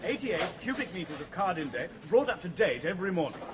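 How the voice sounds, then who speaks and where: A man's voice: sits high, sing-song, fast talker, background noise. An actor playing an eager, deferential male hatchery official who proudly reels off his figures.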